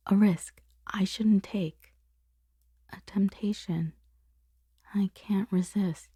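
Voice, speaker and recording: A woman playing a conflicted boss confessing forbidden desire in an intimate, breathy, whispered ASMR roleplay monologue. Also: medium pitch, mellow, no echo, studio quality. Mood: yearning, tempted. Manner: slow, intimate, halting delivery.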